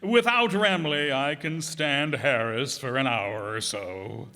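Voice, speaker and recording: Man acting a slow, sharp, sarcastic husband. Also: medium pitch. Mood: exasperated, sarcastic. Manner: sarcastic, grumbling. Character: exasperated, sarcastic businessman husband. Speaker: radio player. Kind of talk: stage re-creation of old radio comedy. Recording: reverberant hall, live stage performance.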